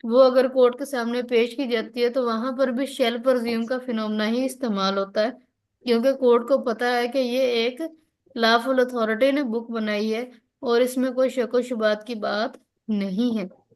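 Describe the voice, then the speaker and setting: A Pakistani woman with a fairly high voice teaches law in an online class. She explains in an instructional way, mixing in English legal terms, and often checks that her students are following. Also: fairly even intonation, a little slow, a little mellow. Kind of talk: online lecture, explaining and reading out legal articles.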